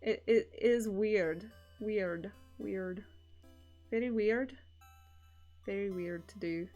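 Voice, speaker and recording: A woman's voice: mid-range voice, slow, mellow, highs cut off, soft background music. Young Scottish-Canadian-accented woman rambling casually. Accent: mixed Edinburgh Scottish and Canadian. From Scotland. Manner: rambling and candid, with loose swearing. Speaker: streamer. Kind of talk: casual stream chat.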